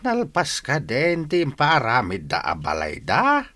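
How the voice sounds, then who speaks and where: Old grandpa, swooping slow speech. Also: male voice, mid-range voice, huge pitch swings, bright, forceful tone, dry recording, exaggerated voice. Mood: talkative, curious. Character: elderly grandfather chatting with his granddaughter. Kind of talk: radio drama acting.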